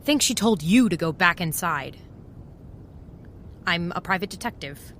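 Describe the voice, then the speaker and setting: Wry female private eye, forceful bright voice. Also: on the low side, lively intonation, measured pace, a little hiss or hum. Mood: sardonic. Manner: sardonic, confiding, casual. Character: wry, impulsive female private eye, over twenty-seven. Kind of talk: first-person noir audio drama narration and dialogue.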